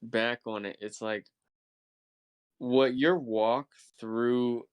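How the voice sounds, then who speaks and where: Slow-talking, smooth-voiced young American man. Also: mid-range voice, fairly even intonation. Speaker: podcast host. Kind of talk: casual slangy chat.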